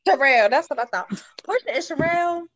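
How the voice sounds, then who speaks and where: A woman's voice: high-pitched, expressive, fast talker, a bit bright, lo-fi sound, slight background noise. A woman guest talking casually and colloquially, giving her opinions in a group discussion. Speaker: voice actor guest. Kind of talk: casual chat.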